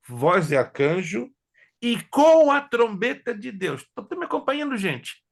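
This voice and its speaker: A man teaching a theology class as a pastor, whose first languages are Hebrew and Spanish, lecturing in an animated way and joking with his students. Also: on the high side. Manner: animated, jokes with students, asks if they follow.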